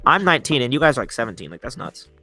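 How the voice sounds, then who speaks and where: Bright-voiced chatty nineteen-year-old guy. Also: mid-range voice, sing-song, rapid-fire, soft background music. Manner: chatty, rambling with many "like"s. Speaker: podcast co-host. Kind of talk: casual chat. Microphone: home mic, talking online.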